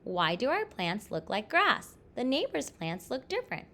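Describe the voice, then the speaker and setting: A woman's voice: fairly high pitch, huge pitch swings, normal speed, sharp tone, full character voice. A woman voicing a curious young storybook child who asks eager, plaintive questions.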